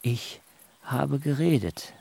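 A man playing a weary, solemn patriarch shepherd in a literary radio drama, speaking in measured, deliberate phrases. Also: mid-range voice, animated, creaky, a little mellow, slightly rough, full character voice. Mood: solemn, resolute. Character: Jakob, weary, solemn patriarch shepherd pleading his case.

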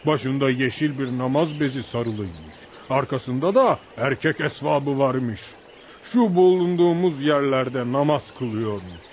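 An actor in a radio play voicing a deferential village man who tells outlaw legends with admiration, like an animated folk storyteller. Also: medium pitch, a little slow, close to an everyday voice. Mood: excited, proud. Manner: animated folk storyteller, addresses listener as sir. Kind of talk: radio theatre acting. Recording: noisy radio drama recording.

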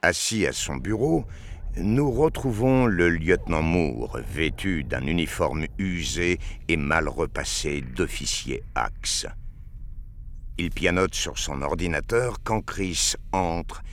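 A man's voice: sits low, animated, takes it slowly. A man reading scripted narration for an audio drama.

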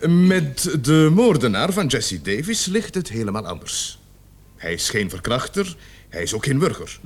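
A man acting in a Dutch radio play as a gruff, authoritative police sergeant with deliberate, emphatic delivery. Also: mid-range voice, sing-song, heavily acted. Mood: formal, emphatic. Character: gruff, authoritative male police sergeant leading a murder investigation. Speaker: radio drama actor.

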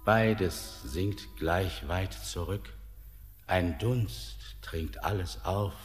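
An actor playing a weary, ominous ancient ghostly man in a poetic radio drama, speaking in solemn, declamatory, drawn-out phrases. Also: low-pitched. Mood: musing. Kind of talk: classic German radio drama, poetic stage diction. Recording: long hall-like reverb.

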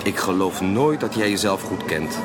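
Earnest young gentleman in love, low lively voice, affectionate, radio drama, music behind. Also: normal speed. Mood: tender, earnest. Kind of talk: 1980s Dutch radio drama acting.

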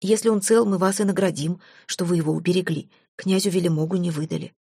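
A woman voicing a calm steady warrior. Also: fairly low pitch, quick pace, creaky, slightly rough, no room sound, clean studio sound. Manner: calm, persuasive. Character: calm, steady male warrior, trusted retainer.

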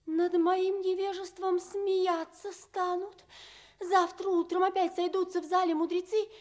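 Proud young princess, very high pitch, imperious, capricious, agitated, theatrical radio play. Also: female voice, flat delivery, measured pace, a little mellow. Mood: agitated, defiant. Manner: capricious, emphatic, insistently repeating, agitated. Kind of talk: theatrical fairy-tale radio play acting.

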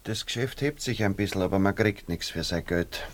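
A man's voice: low-pitched, a little fast, close to an everyday voice. An actor with a Viennese accent playing a worried, gossipy and practical working man in a radio drama, grumbling colloquially. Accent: Austrian, Viennese.

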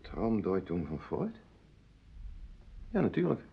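An actor playing an absent-minded, irritable doctor and husband in a radio play, hesitant and blustering in manner. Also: male voice, low voice, huge pitch swings, takes it slowly, gravelly, slightly rough, full character voice. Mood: hesitant, puzzled. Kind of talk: Dutch radio play dialogue. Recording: dull lo-fi radio play recording.

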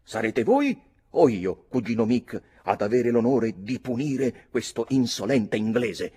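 A man reading an audiobook, voicing a proud, hot-headed fifteen-year-old boy shouting defiance. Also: medium pitch, lively intonation, a little slow, slightly rough, pitched above the performer's own voice. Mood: defiant.

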